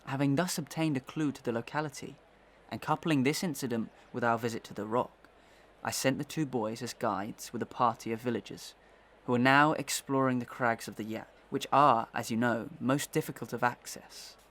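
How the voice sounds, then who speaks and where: Man acting a determined, formal gentleman accuser. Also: mid-range voice, fairly even intonation, moderate pace, natural voice. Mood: methodical. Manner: formal, dramatic storytelling. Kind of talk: Victorian melodrama audio acting.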